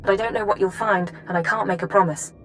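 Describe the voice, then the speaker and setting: A woman playing a grave, driven intelligence chief in a sci-fi game, briefing in a measured, formal way. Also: mid-range voice, fairly even intonation, a little hiss or hum, natural voice. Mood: controlled, somber. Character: grave, driven female intelligence chief, controlled under strain. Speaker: mission handler in a sci-fi game. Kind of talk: dramatic game voice acting.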